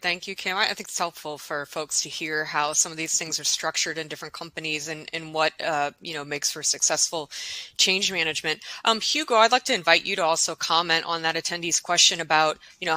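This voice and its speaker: A woman's voice: low-pitched, a little fast, sharp tone, poor audio. A woman moderating a webinar, polite and inviting as she passes questions to the panel.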